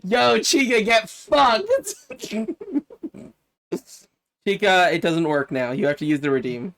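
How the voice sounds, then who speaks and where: Playful, excitable streamer. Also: mid-range voice, takes it slowly, a little hiss or hum. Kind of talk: casual gaming stream chat.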